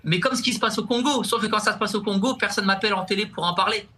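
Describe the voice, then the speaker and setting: A young man with a high and very bright, forceful voice talks in a TV studio. He chats casually as a comedian guest, often stumbling and repeating words before he finds them. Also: fast. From France. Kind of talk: casual talk-show chat.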